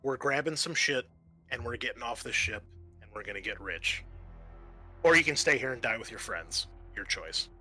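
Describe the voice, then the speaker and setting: A man's voice: mid-range voice, expressive, normal speed, pushed and bright, soft background music. A man voicing a scheming, mercenary woman in a sci-fi tabletop game, blunt and pressuring. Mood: hard bargaining. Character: scheming, mercenary corporate woman aboard a doomed spaceship.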